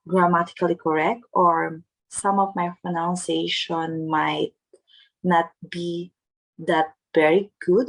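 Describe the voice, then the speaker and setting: Young Filipino woman vlogger, gravelly husky voice, earnest step-by-step delivery. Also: fairly low pitch, rough recording. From Philippines. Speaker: vlogger teaching Japanese test tips. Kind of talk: casual vlog narration.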